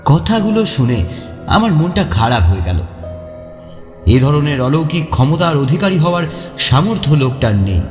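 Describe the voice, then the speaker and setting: A man narrating a first-person horror story in an expressive, suspenseful, dramatic way. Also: fairly high pitch, moderate pace, pauses often. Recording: echoey long reverb, faint music behind. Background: faint music.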